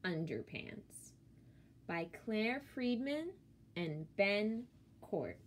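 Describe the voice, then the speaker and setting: A woman who is a teacher, reading a picture book aloud to children with expressive, theatrical emphasis on key words. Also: mid-range voice, extremely slow, slight background noise.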